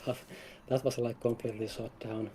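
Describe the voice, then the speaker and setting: A man's voice: medium pitch, level tone, a little fast, mellow, soft background music. A man speaking casually and a little hesitantly as a game developer guest in an interview. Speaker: game developer, lead designer, stream guest.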